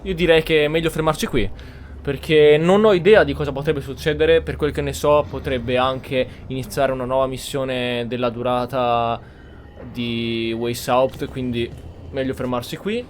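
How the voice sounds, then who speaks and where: A man's voice: mid-range voice, fairly even intonation, normal speed, slight background noise. A man giving casual gameplay commentary as a player, with a sarcastic, grumbling way of talking. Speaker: gaming YouTuber, player.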